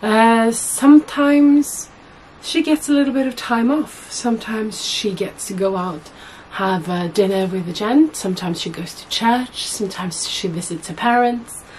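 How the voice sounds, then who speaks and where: A young woman with a husky voice, hoarse from a cold, chatting to camera as a sewing vlogger in a small room at home, rambling and correcting herself often. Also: a little high, a little slow, darkish tone, a little hiss or hum, poor audio. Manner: chatty, rambling, many fillers and self-corrections. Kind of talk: casual chat to camera.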